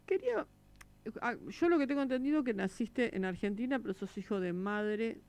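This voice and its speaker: A woman co-hosts a radio interview. She puts her questions hesitantly, humming and pausing as she finds her words. Her voice is close and dry in the studio. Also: a little high, animated, a little mellow, no room sound. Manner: asks questions hesitantly, with hums and pauses. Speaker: radio co-host and actor.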